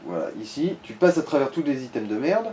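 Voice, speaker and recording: A man's voice: medium pitch, very animated, a little fast, warm tone, slight background noise. A man chatting casually as he guides a player through a game, in informal, crude slang. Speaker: co-commentator guiding the player. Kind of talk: casual gaming chat.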